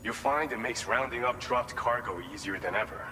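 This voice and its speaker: A man voicing an earnest, humble former boxing champ in a video game, speaking in a measured, plain-spoken, sincere way. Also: medium pitch, bright, forceful tone, close to an everyday voice. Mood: informative. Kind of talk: video game cutscene dialogue and briefing. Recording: reverberant hall, background vehicle noise.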